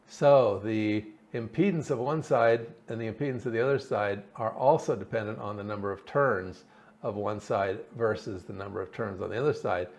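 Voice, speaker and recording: A man with a fairly low voice presents a hobby electronics project in an informal, explanatory style. He speaks haltingly, as if working through his reasoning out loud. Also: gravelly, dull audio. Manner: halting, thinking aloud. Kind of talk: informal technical explanation over slides and diagrams.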